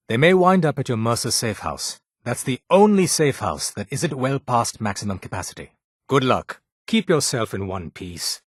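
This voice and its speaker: A brusque British doctor, husky and expressive. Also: male voice, medium pitch, no room sound. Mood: grave. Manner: dry, sardonic, clipped formal phrasing. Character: brusque, prickly, haughty male underground doctor. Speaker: medic in a secret resistance group. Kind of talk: video game character voice acting.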